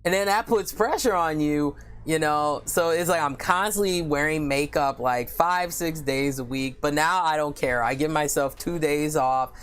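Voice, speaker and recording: A woman with a deep voice talks to her live-stream viewers in a loose, candid way, full of "you know" and quick asides. She reads viewer comments aloud and answers them as she goes. Faint music plays behind her. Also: smooth. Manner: candid and chatty, many "you know" fillers, quick laughs.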